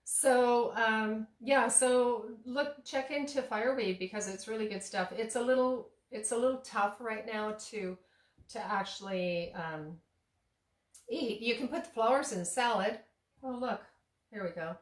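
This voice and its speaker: A woman chatting casually while cooking at home, rambling with many fillers and restarts, her voice slightly hoarse. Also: a little high, unhurried, reverberant. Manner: laughs at herself. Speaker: tea shop owner and cooking vlogger. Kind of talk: casual kitchen demo chat. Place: home kitchen.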